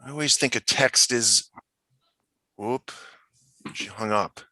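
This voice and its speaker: A man playing a casual, nosy high school principal in a comedy script read. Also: slow, bright, forceful tone, no echo, heavily acted. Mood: casual, wry.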